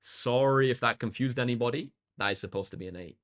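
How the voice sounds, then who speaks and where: Guy tutor on a livestream, chatty and informal, voice a bit croaky, mid pitch. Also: fast, fairly bright tone. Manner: informal, appreciative, addresses viewers directly. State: slightly croaky tired voice. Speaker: maths tutor live streamer. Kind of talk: casual livestream chat to viewers.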